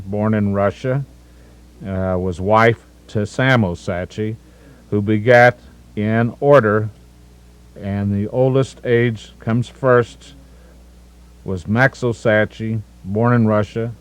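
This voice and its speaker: A man's voice: fairly low pitch, clear, resonant tone. A man in his sixties from the United States narrates his family's history over home movies, methodically listing names and correcting himself now and then.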